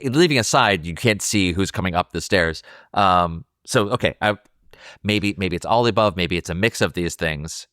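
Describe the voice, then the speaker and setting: Man, fairly low voice, casual film discussion, thoughtful, self-interrupting, dry close recording. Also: moderate pace, a bit bright, dry recording. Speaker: podcast co-host.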